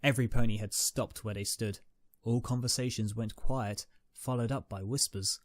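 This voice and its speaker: Medium-pitched man narrating a dramatic story. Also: fairly even intonation, moderate pace, dry recording. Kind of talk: scripted dramatic fan fiction narration.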